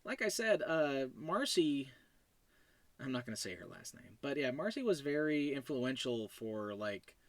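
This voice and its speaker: A man's voice: medium pitch, big pitch swings, a little slow, no room sound. A man hosting a solo podcast in a casual, digressive, self-deprecating way, talking about his own fiction. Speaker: podcast host.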